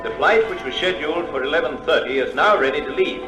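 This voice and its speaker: An actor playing a formal, deliberate airport announcer in an old-time radio drama. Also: male voice, on the high side, close to an everyday voice. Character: formal male airport public-address announcer. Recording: echoey announcement with music behind.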